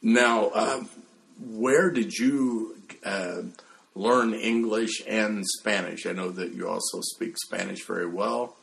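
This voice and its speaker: A male interviewer speaks in a very low voice with heavy creak. He puts his questions conversationally, feeling his way through them with halting phrasing. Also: a bit bright.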